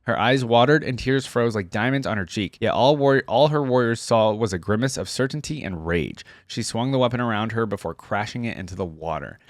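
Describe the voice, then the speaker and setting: A man's voice: medium pitch, animated, brisk, few pauses, dry recording. Man, mid-range voice, informal reaction host, story reading, casual commentary, dry recording. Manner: informal, breaks off to comment on what he reads.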